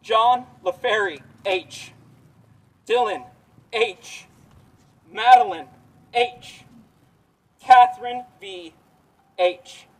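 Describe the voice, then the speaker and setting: A man at an outdoor rally slowly reading a list of victims' names in a somber, measured way. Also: high voice. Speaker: rally speaker. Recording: voice distant from the mic.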